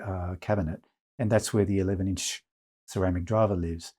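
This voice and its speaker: Low, mellow-voiced man reviewing gear. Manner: measured, hesitant, pausing between phrases. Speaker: audio magazine editor and reviewer, presenter. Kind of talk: informal product review to camera.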